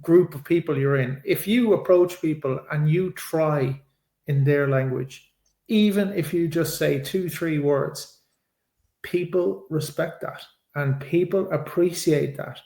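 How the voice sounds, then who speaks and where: Slow-talking Irish man, echoey room. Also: fairly high pitch, creaky, warm tone. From Ireland. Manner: laughs often, self-deprecating, halting restarts. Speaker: podcast co-host. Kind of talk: casual podcast chat.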